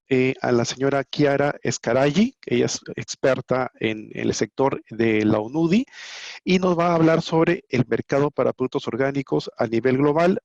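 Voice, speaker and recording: A man's voice: medium pitch, creaky, a little mellow, no room sound. Courteous man moderating, dull dry audio. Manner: courteous, halting with fillers. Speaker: webinar moderator. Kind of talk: moderating a webinar. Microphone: video call.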